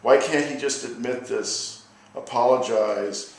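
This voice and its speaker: An American man speaks at a live poetry reading in a very echoey room, some distance from the microphone. His pitch is average for a man, and his phrasing is measured and deliberate. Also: vocal fry. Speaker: poet reading own work. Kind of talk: live poetry reading with informal spoken introduction.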